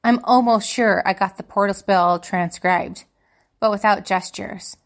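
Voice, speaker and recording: A woman's voice: medium pitch, measured pace, close to an everyday voice. A woman playing a young spellcaster student in an audio drama, confiding and hesitant, anxious and wistful. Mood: confiding, uneasy. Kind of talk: dramatic monologue in fiction podcast.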